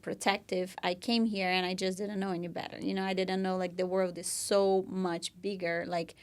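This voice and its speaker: A woman's voice: mid-range voice, smooth. A young woman from Brazil with a Brazilian Portuguese accent, co-hosting a podcast and telling her own story in an earnest, casual way full of "you know" and "like". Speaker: podcast co-host.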